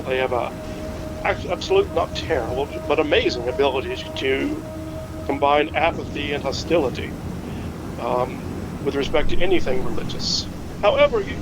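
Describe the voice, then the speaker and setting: A man playing a learned, hesitant history scholar in a naturalistic fiction podcast, speaking in a halting, pedantic way. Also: fairly high pitch, noisy background.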